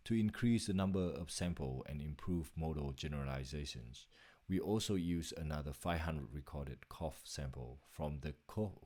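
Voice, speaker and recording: A man's voice: a bass voice, darkish tone. A man reading a scripted narration for a slide presentation as its presenter.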